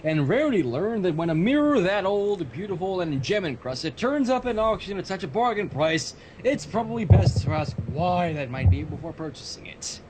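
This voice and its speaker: Guy reading a story on stream, high voice that moves around a lot, some background noise. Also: big pitch swings, normal speed, hardly any pauses. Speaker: fan fiction reader on a livestream. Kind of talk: storybook narration with casual asides.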